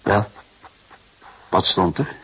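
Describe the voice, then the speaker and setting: An actor playing a patient, fatherly, wry police detective in a Dutch radio play, speaking in deliberate, musing phrases. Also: a bit bright. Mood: probing, wry. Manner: deliberate, hesitant musing with repeated assents. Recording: muffled radio drama recording.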